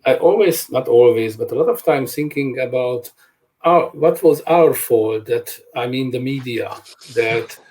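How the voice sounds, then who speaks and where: A man with a Hungarian accent, a journalist on a panel, talking in a deliberate way with many pauses. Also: medium pitch, darkish tone, poor audio. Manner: slow, deliberate, pausing mid-sentence. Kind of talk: panel discussion. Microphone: remote video call.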